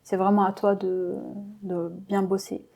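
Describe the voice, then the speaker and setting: A woman's voice: medium pitch, little pitch movement, a little slow, darkish tone. A young woman from Lebanon answering interview questions as a doctoral student, hesitant with many fillers and modest in tone.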